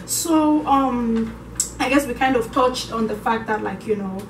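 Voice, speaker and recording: Cameroonian accent, young woman, lively vlog talk, fairly high voice, faint room hum. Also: measured pace. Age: young adult. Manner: animated, acts out quoted voices. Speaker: vlog co-host. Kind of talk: casual chat to viewers. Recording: echoey room, mic at a distance.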